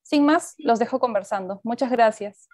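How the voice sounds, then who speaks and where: A woman's voice: fairly high pitch, normal speed. A woman coordinator reading a prepared welcome on a video call from a bedroom, through a wired earphone headset. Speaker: festival event coordinator. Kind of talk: formal introduction.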